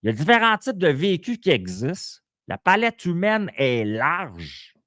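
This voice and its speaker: A man's voice: on the high side, wildly swooping pitch, a little slow, sharp tone, no room sound. A Québécois man hosting a live podcast in a small studio, playful and teasing, with bursts of exclamations. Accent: Québécois French. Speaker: podcast host. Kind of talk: lively live podcast interview.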